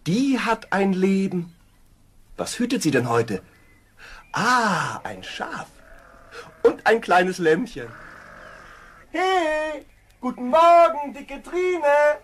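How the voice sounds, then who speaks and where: A simple-minded young country lad in a fairy-tale radio play. He is lazy and cheerful, drawling slowly and naively with wide swings in pitch and contented hums. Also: very animated, a bit bright, heavily acted.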